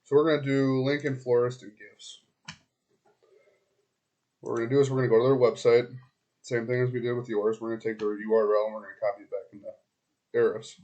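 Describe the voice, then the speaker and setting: Hoarse man talking through a screencast. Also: mid-range voice, little pitch movement, brisk, pauses often, warm tone, highs cut off. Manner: talks to the client directly, loose and chatty, thinks aloud with many ums and restarts. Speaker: web marketing consultant. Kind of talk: casual screen-recorded walkthrough.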